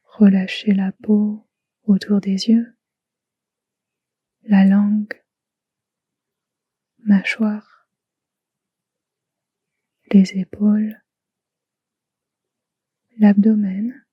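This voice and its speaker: A woman leads a guided relaxation in a soft, breathy voice. Her delivery is very slow and soothing, with short phrases and long pauses between them. The recording is close and dry, though a little muffled. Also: mid-range voice, little pitch movement, dry recording. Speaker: yoga nidra guide.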